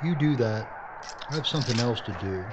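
A man's voice: medium pitch, animated, brisk, natural voice. A man acting as a determined filmmaker hero in a horror adventure drama, blunt and matter-of-fact. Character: gruff, determined male filmmaker turned action hero. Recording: dull audio with loud background noise.

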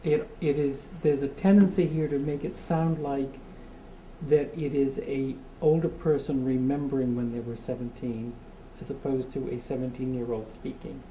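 A man speaks up at a book discussion, stopping and restarting as he works through his point. His voice is soft and mellow and heard from across a roomy hall. Light noise runs underneath. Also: mid-range voice, steady tone. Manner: halting, many restarts and fillers. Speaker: audience member. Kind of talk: casual discussion. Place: community meeting room. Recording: echoey room from a distance, faint noise.

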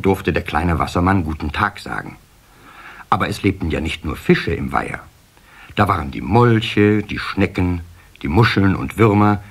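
A man tells a children's story as narrator. His voice is fairly low and husky, with expressive rises and falls in the phrasing.

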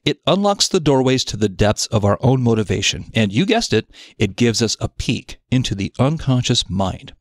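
A man reading a scripted self-development article aloud in a measured, articulate, explanatory manner. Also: medium pitch, very animated, no echo, studio quality. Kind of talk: scripted narration of an audio article.

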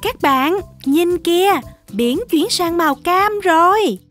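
An actress voicing a perky young shark in a Vietnamese children's cartoon. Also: female voice, very high-pitched, expressive, takes it slowly, a bit bright, soft background music, exaggerated voice. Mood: enthusiastic.

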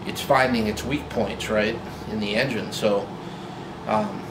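A man in his forties talking casually to camera about his old truck, relaxed and rambling with lots of fillers and restarts, filmed in a garage. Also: on the low side, unhurried. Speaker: vlogger. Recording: roomy garage with loud hum.

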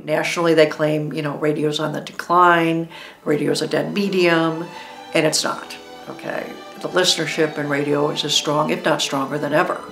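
A woman's voice: low voice, little pitch movement, moderate pace, gravelly. A woman in her fifties speaking emphatically and matter-of-factly in an interview. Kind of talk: documentary interview talk. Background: music.

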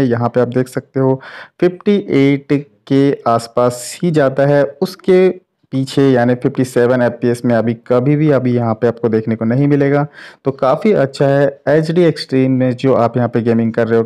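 Man, mellow casual tech commentary. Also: medium pitch. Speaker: tech reviewer, channel host. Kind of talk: casual phone gaming test commentary.

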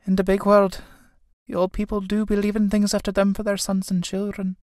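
A woman's voice: medium pitch, monotone, brisk, darkish tone, no echo, studio quality, voice pushed up, natural voice. A woman playing a fretful, grieving old Irish mother in a stage play, with a plaintive, lamenting delivery. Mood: weary, frail.

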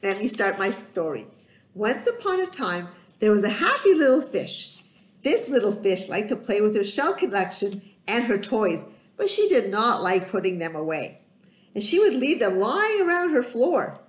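A middle-aged woman telling a children's story in an expressive, emphatic, sing-song way, recorded in a room at home. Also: mid-range voice, normal speed. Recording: roomy, echoey home recording.

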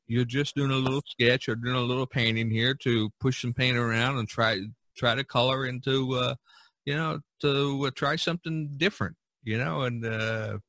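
A man hosting a podcast in casual chat, talking in a halting, conversational way. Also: mid-range voice, sharp tone, dry recording. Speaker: podcast host. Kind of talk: casual chat about topics from a list.

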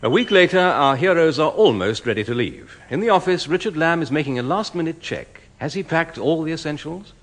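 An actor playing a formal, dry announcer, narrating a 1960s British radio comedy from a script. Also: male voice, a little high, animated, fairly quick, hardly any pauses. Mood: formal, wry.

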